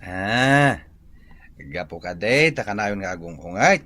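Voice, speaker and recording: An actor with an Ilocano accent playing a nosy, teasing buddy in a comedy radio drama. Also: male voice, fairly low pitch, expressive, measured pace, sharp tone. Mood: amused, curious. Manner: teasing, inquisitive. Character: nosy, amused male buddy egging on his friend.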